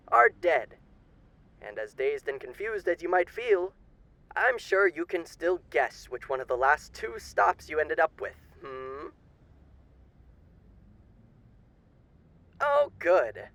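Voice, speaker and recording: A man's voice: on the high side, fairly bright tone, no echo, exaggerated voice, poor audio. A man playing a suave demon overlord with theatrical, old-fashioned genteel diction in an audio roleplay. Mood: mock sympathy. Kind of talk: ASMR character roleplay.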